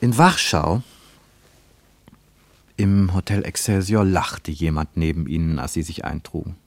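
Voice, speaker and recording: A man reading a scripted literary narration for a radio play in a measured, deliberate way. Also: deep voice, fairly even intonation, vocal fry, no echo.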